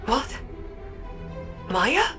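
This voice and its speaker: An actress playing a serious, intense scientist and field ally in a video game, earnest and direct. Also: female voice, very slow, over music. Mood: panicked. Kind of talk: scripted video game acting.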